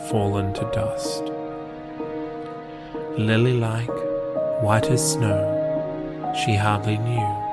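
A man reads poetry in a slow, measured way over soft, sad music. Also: on the low side. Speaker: poetry podcast host and reader. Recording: music under the voice. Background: soft, sad new-age music.

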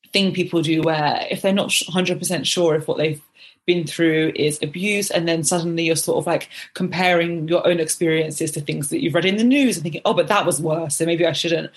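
A young adult woman hosting a podcast interview, encouraging and affirming her guest. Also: low voice. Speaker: podcast host.

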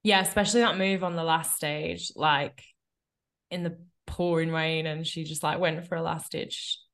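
A woman with a stuffy, nasal voice from a cold talks casually as a podcast co-host. Her pitch sits a little low and her tone is wry and chatty, with loose fillers between thoughts. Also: fairly even intonation, normal speed, a bit bright. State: congested with a cold. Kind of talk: casual chat.

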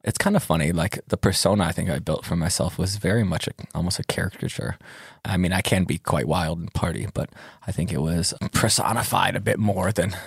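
Low gravelly-voiced man, laughing as he talks. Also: a little fast, hardly any pauses, dry recording, studio quality. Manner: laughs often, animated retelling of stories. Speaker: DJ and interview guest. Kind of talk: relaxed conversational interview.

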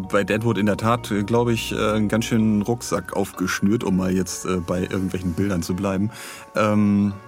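Male podcast co-host, lowish voice, casual and meandering, faint music behind. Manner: hesitant, meandering, seeking agreement with tag questions. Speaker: podcast co-host and audio drama producer. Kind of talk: casual discussion.

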